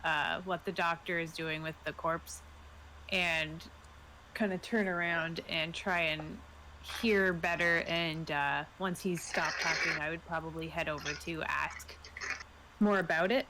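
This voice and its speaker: A woman's voice: low-pitched, fairly even intonation, unhurried. A woman role-player thinking aloud casually and a little hesitantly about what her character does. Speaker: player in a tabletop role-playing game. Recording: home recording with clear background noise.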